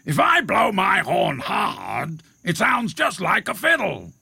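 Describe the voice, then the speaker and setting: A man voicing a vain, grumbling rhinoceros in a storybook, with a gravelly, husky voice and pompous, drawn-out delivery. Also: high-pitched, wildly swooping pitch, bright, forceful tone, no room sound, voice pushed up, heavily acted. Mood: boastful.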